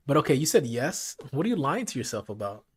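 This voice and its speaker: A man running a late-night live stream, talking casually and directly to his chat, with his voice gone hoarse from hours of talking. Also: mid-range voice. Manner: casual, chatty, talks straight to his chat.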